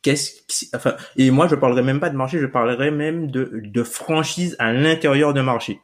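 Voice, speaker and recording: Gars qui se reprend tout le temps, discussion tranquille. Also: male voice, mid-range voice, normal speed. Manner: hesitant, repeats words. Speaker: podcast co-host. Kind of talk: casual panel discussion.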